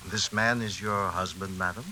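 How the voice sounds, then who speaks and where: Casting: measured, condescending asylum doctor, 1940s radio, sharp forceful tone, hissy broadcast. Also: male voice, low voice, fairly even intonation. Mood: polite, probing. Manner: hesitant, measured, condescendingly soothing. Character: skeptical, polite state asylum doctor. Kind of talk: 1940s radio drama acting.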